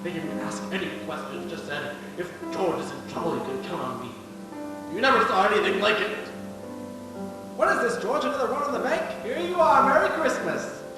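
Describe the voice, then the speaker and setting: A man playing a hearty, warm-hearted small-town friend in a live radio play, animated in delivery. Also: sits high, fairly quick. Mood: excited. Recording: distant echoey hall, loud music.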